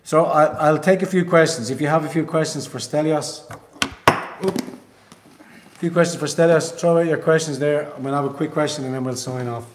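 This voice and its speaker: A man's voice: fairly high pitch, moderate pace, reverberant, background noise. A man from Ireland hosting a casual live stream, talking bluntly and emphatically and swearing. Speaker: livestream host.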